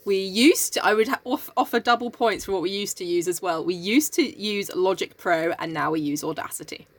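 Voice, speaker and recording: A woman's voice: medium pitch, fairly quick. A woman co-hosting and editing a podcast, a little congested from a cold, chatting playfully and laughing often. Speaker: podcast co-host and editor. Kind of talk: casual chat.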